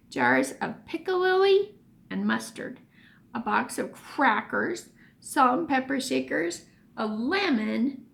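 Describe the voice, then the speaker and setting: A woman teacher reading a children's story aloud expressively, pausing for emphasis and explaining things as she goes. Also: fairly high pitch, creaky, a bit bright.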